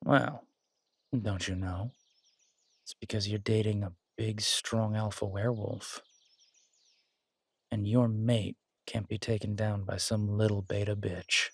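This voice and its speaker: A man playing a confident, protective alpha werewolf boyfriend in an intimate roleplay audio drama, husky and soothing, teasing and reassuring in a casual way. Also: lowish voice, level tone, unhurried, long pauses, no room sound, exaggerated voice. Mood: playful, boastful.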